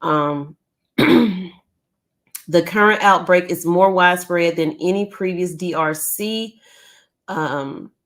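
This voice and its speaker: A woman's voice: lowish voice, slow, long pauses, fairly bright tone. A woman hosting a casual live news stream from home, chatty with frequent ums, who is losing her voice. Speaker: YouTube news channel host.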